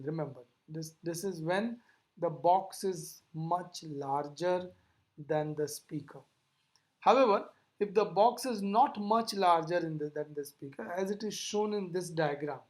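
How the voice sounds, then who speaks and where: A lecturer explaining material in a classroom style, didactically repeating and rephrasing key points. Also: medium pitch. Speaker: physics lecturer.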